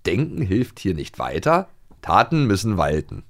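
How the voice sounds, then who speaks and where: Guy cohosting a playful podcast, pitch jumps all over, jokey, interrupts himself, hams up quotes. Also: huge pitch swings, normal speed, vocal fry. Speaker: podcast co-host. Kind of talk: playful casual chat, quiz.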